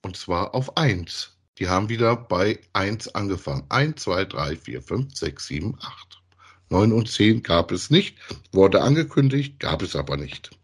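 A middle-aged man co-hosting a podcast in a casual review chat about audio dramas, with a husky voice. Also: low-pitched, very animated, a little slow. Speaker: podcast co-host.

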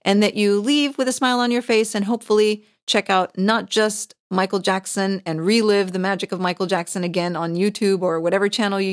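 A woman's voice: mid-range voice, dry recording, studio quality. A woman hosting an interview, talking eagerly and chattily to her guest. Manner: enthusiastic, chatty. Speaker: host. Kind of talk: casual interview.

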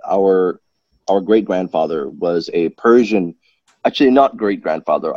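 A man's voice: low voice, no echo, lo-fi sound. A man from Malaysia, a guest in a casual interview, talking at length with many restarts and self-corrections. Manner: rambling, self-correcting, animated.

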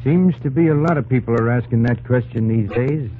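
An actor playing a kindly, plainspoken frontier army scout in an old-time radio drama, folksy and reassuring. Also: male voice, mid-range voice, fairly quick, a little hiss or hum, natural voice. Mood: earnest. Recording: muffled old radio recording, music behind.

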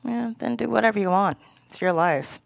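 A woman acts out a blunt, folksy older woman in a screenplay drama. Her voice is creaky and very dry-sounding, with no room around it. She is matter-of-fact and a little meddling. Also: mid-range voice, moderate pace, no echo. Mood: dryly scolding. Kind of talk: dramatic table read of a screenplay.

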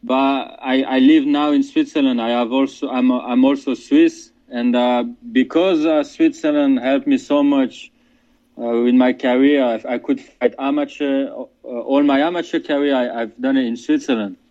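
Fighter on a dull line. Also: male voice, mid-range voice, steady tone, warm tone. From France. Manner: halting, many restarts and fillers, casual slang. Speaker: MMA fighter. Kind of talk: interview answers, remote.

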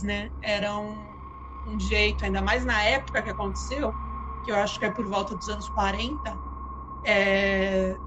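A woman's voice: medium pitch, noisy background. A woman hosting a casual live chat with channel supporters, telling stories in a conversational way with frequent restarts. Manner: conversational, hesitant restarts, storytelling. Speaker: channel host.

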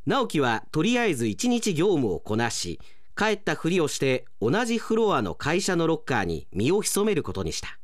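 A man's voice: fairly high pitch, wildly swooping pitch, moderate pace, no echo, studio quality. A man narrating a scripted radio serial in a theatrical, suspenseful storytelling manner. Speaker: radio announcer performing a drama. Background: music under the voice.

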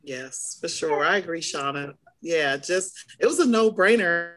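A hoarse middle-aged woman co-hosting a podcast in casual chat, animated as she acts out her own past reactions. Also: lowish voice, a little slow. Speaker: podcast co-host.

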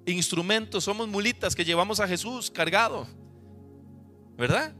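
A man's voice: sits high, moderate pace, pushed and bright, soft background music. A man with a Costa Rican accent preaching a sermon to his congregation, emphatic and full of rhetorical questions. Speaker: pastor.

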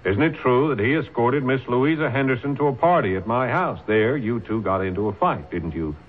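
An actor playing a stern, principled but compassionate defense lawyer, formal and persuasive, in a radio drama. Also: male voice, mid-range voice, animated, brisk, lightly acted. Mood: stern, insistent. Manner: forceful, probing, formal, persuasive. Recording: tinny old radio broadcast.

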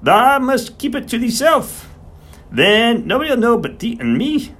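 A man's voice: extremely high pitch, sing-song, a little slow, fairly bright tone, slightly rough, pitched above the performer's own voice, exaggerated voice. A man voicing a small, elderly, beery retired smallholder, who talks in a conspiratorial, rustic way. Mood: emphatic. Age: older. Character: wily beery little old male horse doctor.

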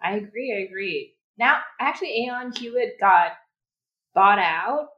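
A woman's voice: mid-range voice, animated, a little slow, muffled recording. A woman from Thailand speaking as a podcast guest, chatty and friendly, often correcting herself mid-sentence. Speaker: guest, project manager. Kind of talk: casual interview.

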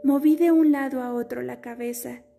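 A woman with a dark, mellow voice, pitched a little high, reads a letter aloud slowly and wistfully. Quiet guitar plays faintly behind her as she narrates. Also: fairly even intonation, creaky. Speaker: reader of a literary letter. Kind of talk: scripted audiobook reading.

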